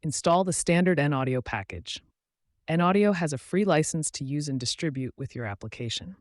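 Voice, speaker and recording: A man with a slightly high voice delivers scripted tutorial narration in a measured, instructional manner. The sound is dry and close, as a voice-over recorded right on the mic. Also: animated, no echo. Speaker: tutorial host.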